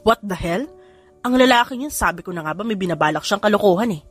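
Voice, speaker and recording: A woman's voice: medium pitch, expressive, fast talker, slightly rough, faint music behind. Woman voicing an irritable, indignant young husband. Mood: seething. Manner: indignant, blunt, mixing Tagalog and English. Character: irritable, exasperated young male hacker husband, defensive and offended.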